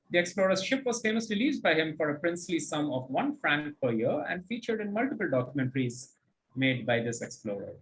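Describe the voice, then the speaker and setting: A male quizmaster with a fairly high voice and very animated intonation. He reads questions articulately, then adds encouraging comments and explanations as he goes. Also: poor audio. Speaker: quizmaster and host. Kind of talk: reading quiz questions and commenting on answers.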